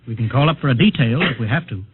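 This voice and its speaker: An actor playing a harried air base official dealing with a friend, in 1950s radio drama style. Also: male voice, medium pitch, expressive, racing, slightly rough. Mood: placating. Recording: muffled, lo-fi old radio broadcast.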